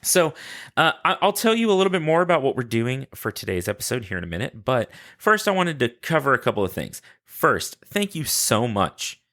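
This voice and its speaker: A man's voice: mid-range voice, big pitch swings, fairly quick, fairly bright tone. A man hosting a podcast in casual, unscripted solo talk, chatty and rambling, with enthusiasm and self-deprecating asides. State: sleepy. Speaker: podcast host.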